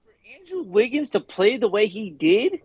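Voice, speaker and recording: A man's voice: sits high, sing-song, moderate pace, darkish tone, husky, no room sound, dull audio. A man co-hosting a casual sports talk show. He speaks in a laid-back way, with easy slang and repeated words. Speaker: co-host. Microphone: video call.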